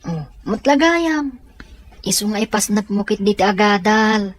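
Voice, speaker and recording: A woman's voice: mid-range voice, expressive, unhurried. A woman with a Filipino Ilocano accent playing a kind, encouraging adviser to a student in an audio drama.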